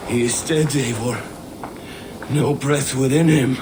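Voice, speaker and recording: An actor playing a grieving, stoic Viking warrior in a video game, measured and somber. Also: male voice, medium pitch, steady tone, background noise, lightly acted. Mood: mournful. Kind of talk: dramatic video game acting. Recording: echoey, with music behind.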